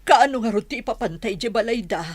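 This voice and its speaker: A woman's voice: fairly high pitch, expressive, fast talker. A woman playing an anxious village madam fretting over her daughter in an Ilocano radio drama.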